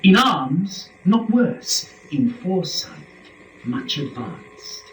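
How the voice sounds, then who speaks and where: In a roomy, distant recording, a proud fallen angel, a male rebel lord of hell, declaiming verse grandly and slowly. The pitch swings widely as the defiant speech swells and drops. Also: very animated, heavily acted. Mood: proud, vengeful. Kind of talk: dramatic reading of epic verse. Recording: roomy, distant mic, faint noise.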